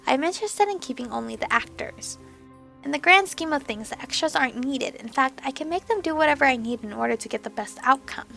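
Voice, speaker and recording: A woman voicing a sly, scheming male sorcerer villain from a visual novel, with a smooth, mocking and condescending delivery. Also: sits high, animated, a little fast, pushed and bright, faint music behind, heavily acted.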